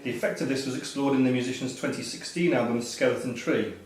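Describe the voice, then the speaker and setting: A man hosts a live quiz, reading out questions to the players at a medium pitch. The sound is heavily echoey and picked up from a distance, with some noise behind him. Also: fast talker, a little mellow. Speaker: quiz master. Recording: roomy echo, distant mic, background noise.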